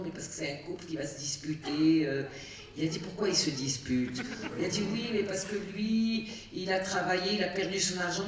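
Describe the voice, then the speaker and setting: A woman's voice: low voice, far from the mic. A woman, a film director chatting with an audience after a screening, telling stories with many fillers and restarts. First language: Arabic. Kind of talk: casual talk to an audience. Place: cinema auditorium. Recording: echoey cinema hall, heard from afar.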